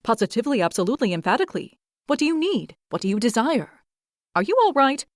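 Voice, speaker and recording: A frantic, busy male squirrel from a storybook, rattling off clipped questions in a high, excited voice, as a woman puts him on. He sounds hurried and preoccupied, recorded dry. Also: dry recording.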